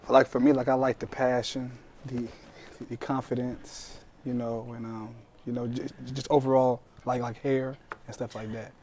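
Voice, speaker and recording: Male, mid twenties, monotone and halting casual guest talk, slight room noise. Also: mid-range voice. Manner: hesitant, repetitive, trailing off. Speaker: panel guest. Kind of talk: casual chat. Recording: faint background noise, room ambience.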